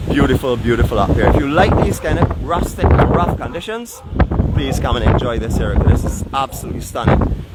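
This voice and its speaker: A man's voice: medium pitch, very animated, a little fast. A man in his early fifties with a Barbadian accent, chatting casually and playfully as he hosts a live outdoor walking vlog. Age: early 50s. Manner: playful, teasing, tongue-in-cheek boasting. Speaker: live vlog host and tour guide. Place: outdoors on windy seaside cliffs. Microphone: handheld rig microphone with furry windscreen. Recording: loud gusting wind muffling and distorting voice.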